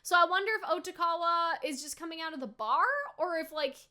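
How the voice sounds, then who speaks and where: A young woman with a very high, bright voice chats through an anime review. She rambles in an animated, casual way, often checking in with "right?" and leaning on "like". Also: brisk. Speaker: anime reaction YouTuber. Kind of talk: casual reaction and review chat.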